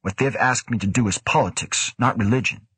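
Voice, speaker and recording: A man voicing an earnest young male scientist who argues quickly and defensively. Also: mid-range voice, fairly bright tone, slightly rough, dry recording, pitched above the performer's own voice.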